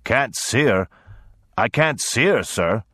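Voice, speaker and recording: A man's voice: mid-range voice, animated, a little slow, fairly bright tone, dry recording, clean studio sound, voice pushed up. A man voicing a brassy, bullying old grandfather lord in an audiobook, boastful and mocking in manner. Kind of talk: audiobook character voice.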